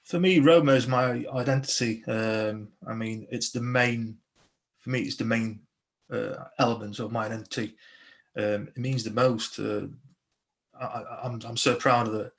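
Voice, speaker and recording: A man's voice: mid-range voice, fairly even intonation, normal speed. A young adult man from Slovakia, a police constable, speaking earnestly on a video call interview with many restarts.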